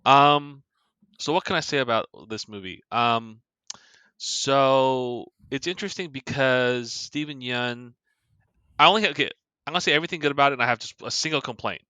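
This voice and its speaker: Bright, crisp-voiced man rambling casually. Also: mid-range voice, normal speed, no echo. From Korea. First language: Korean. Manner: rambling, many restarts and fillers. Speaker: podcast co-host. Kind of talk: casual movie chat.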